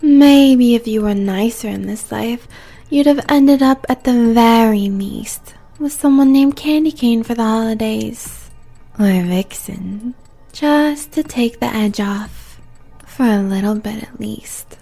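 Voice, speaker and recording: Sultry British female fire spirit, played by a woman: flirtatious, teasing, slow and creaky, for a comic Christmas audio drama. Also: fairly high pitch, mellow, soft background music, exaggerated voice. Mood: teasing, seductive. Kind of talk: comedic audio roleplay acting.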